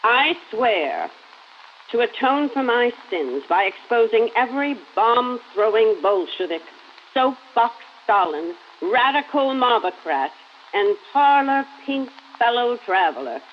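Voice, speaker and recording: A woman's voice: fairly high pitch, big pitch swings, slow. An actress playing a sanctimonious middle-aged woman venomously testifying in an oratorical 1950s newsreel style, in an audio drama. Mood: zealous vow. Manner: oratorical, denouncing with relish. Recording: crackly, dull old newsreel sound.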